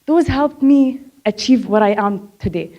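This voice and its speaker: A young woman with a somewhat high voice talks earnestly about her life, often stuttering and repeating the starts of words. She speaks openly and candidly as she tells her story from a stage. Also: animated, a little slow, lo-fi sound. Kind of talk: personal talk on stage. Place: on a stage before an audience.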